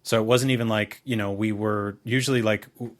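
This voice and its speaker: A middle-aged man chatting casually as a podcast co-host, rambling and halting, with colorful comparisons. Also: lowish voice, steady tone. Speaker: podcast co-host, video host and writer. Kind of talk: casual conversational podcast chat.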